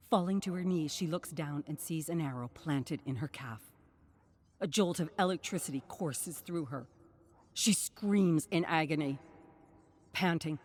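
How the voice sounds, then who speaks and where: Slow, dramatic story narrator. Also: medium pitch, slight background noise. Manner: grave, suspenseful, measured delivery.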